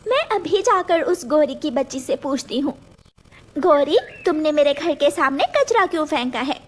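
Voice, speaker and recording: A woman's voice: high-pitched, expressive, moderate pace, a little hiss or hum. A woman voicing an aggrieved mother sparrow in a children's cartoon, earnest, scolding and complaining. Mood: indignant. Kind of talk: children's cartoon story dub.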